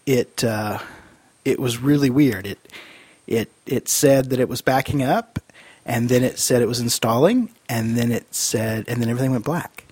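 A man co-hosting a podcast in casual, easygoing and rambling chat, his voice husky from a cold. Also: mid-range voice, moderate pace, darkish tone, dry recording. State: fighting off a cold. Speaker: podcast co-host.

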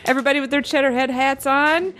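A woman's voice: high-pitched, fairly even intonation, fast, fairly bright tone. A woman chatting casually, adding details and agreeing along as a story is told. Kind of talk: casual chat.

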